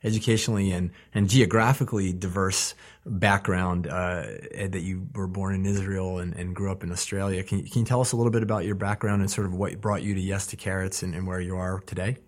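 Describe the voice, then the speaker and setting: A man hosting a radio talk show, asking curious, measured questions. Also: low voice, level tone. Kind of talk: interview questions.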